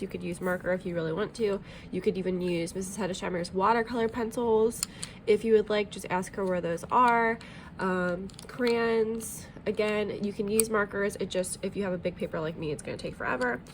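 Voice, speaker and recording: A woman's voice: mid-range voice, a little hiss or hum. A woman art teacher talking through a drawing tutorial in a friendly, chatty way, a bit sniffly from allergies, with plenty of ums.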